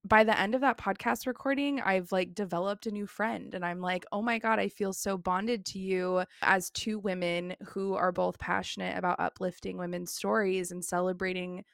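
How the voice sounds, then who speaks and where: A woman's voice: medium pitch, fairly even intonation, normal speed, creaky, hardly any pauses, no room sound, studio quality. A woman who hosts a podcast, talking heartfelt in a casual conversation with many "you know" fillers. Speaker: podcast host.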